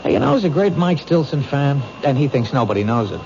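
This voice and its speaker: A man's voice: mid-range voice, big pitch swings, fast talker, creaky, a little mellow. Plain-spoken male police detective, muffled recording. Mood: friendly. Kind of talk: 1970s radio drama acting. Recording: muffled, hissy old radio recording.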